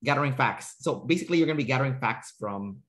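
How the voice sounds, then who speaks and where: A man with a mid-pitched voice hosts a casual interview over a video call. He explains in an informal, looping way, restating his phrases as he works toward the point. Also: expressive, quick pace, fairly bright tone. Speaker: interviewer, personality trainer. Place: room at home, indoors.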